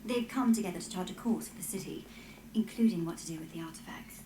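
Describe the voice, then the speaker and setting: A woman playing a composed, matter-of-fact scholarly advisor, measured and explanatory, in a fantasy video game. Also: on the low side, echoey room, background noise, lightly acted. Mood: composed, explaining.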